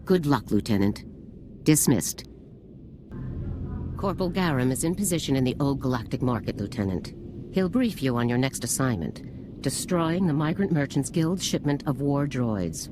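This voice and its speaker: A stern, deep-voiced general. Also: female voice, expressive, normal speed, noisy background. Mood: commanding. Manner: authoritative, commanding. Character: stern female military general giving orders. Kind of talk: video game cutscene voice acting.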